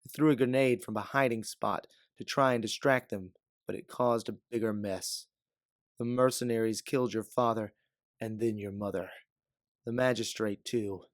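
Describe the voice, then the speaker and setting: Scarred older mercenary, read by a man, measured and weary, low-key, dry sound. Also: no room sound, lightly acted. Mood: somber explaining. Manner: measured, explaining calmly. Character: aged, scarred mercenary man, calm, weary, self-justifying.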